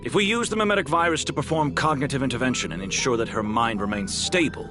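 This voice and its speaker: An actor playing a protective, geeky research scientist in a video game, explaining things earnestly and a little hesitantly over music. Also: male voice, medium pitch, quick pace, close to an everyday voice. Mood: concerned, pleading. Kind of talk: video game dialogue acting.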